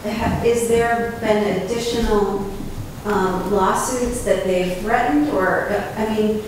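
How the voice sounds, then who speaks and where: A woman's voice: mid-range voice, slow pace. A woman resident at a town meeting, apologetic and unsure as she asks for clarification. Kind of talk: public comment. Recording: very echoey hall from far off, noise.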